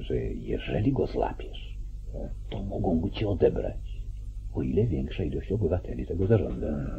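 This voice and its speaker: An actor playing a calculating, commanding judge and politician in an old radio play, speaking deliberately. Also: male voice, very deep voice, creaky, heavily acted. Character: calculating, domineering small-town judge, older male politician. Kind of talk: mid-century Polish radio play acting. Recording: muffled, narrow-band 1940s radio recording.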